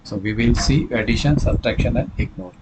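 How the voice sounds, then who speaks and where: A clearly noisy recording carries a man's voice at an average pitch. He speaks as a software trainer walking through a hands-on tutorial. His delivery is halting, with repeated phrases, hesitations and self-corrections.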